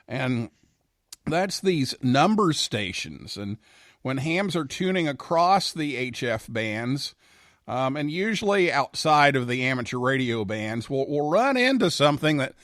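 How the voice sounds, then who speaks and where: An American man hosting a radio talk show in a conversational, halting, unhurried manner. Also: a little high, a bit bright, dry recording, studio quality. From United States.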